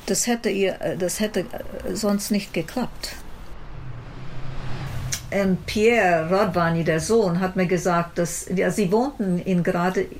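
A woman scholar explains her subject in an unscripted interview. Her delivery is very slow and halting, with repeated phrases and noticeable vocal fry. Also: fairly low pitch, darkish tone, a little hiss or hum, rough recording.

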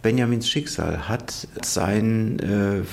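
A man speaking as an interviewed expert, deliberate and pausing for words. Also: on the low side, gravelly, over loud music. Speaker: interviewed archive director.

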